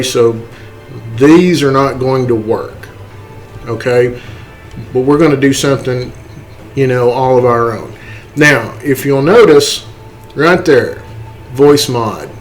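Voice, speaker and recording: A man giving an unscripted software tutorial, halting and step-by-step, often checking in with a questioning okay. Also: mid-range voice, poor audio. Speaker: tutorial host.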